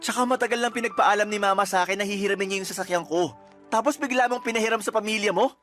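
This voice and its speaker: A man's voice: high-pitched, rapid-fire, fairly bright tone, faint music behind. A man acting as a fed-up, resentful boyfriend in a Tagalog radio romance drama, emphatic and accusing. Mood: frustrated, accusing. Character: fed-up, resentful boyfriend breaking up with his girlfriend.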